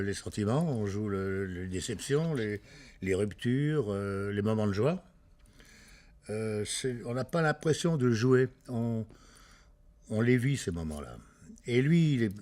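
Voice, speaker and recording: Halting French man, lowish voice. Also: darkish tone. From France. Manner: halting, many fillers and restarts. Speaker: actor. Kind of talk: casual interview.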